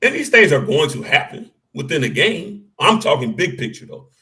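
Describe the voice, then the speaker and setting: A man with a deep, bassy voice, co-hosting a casual sports talk show and arguing his points with animated, salty energy. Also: moderate pace. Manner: animated, argumentative, swears casually.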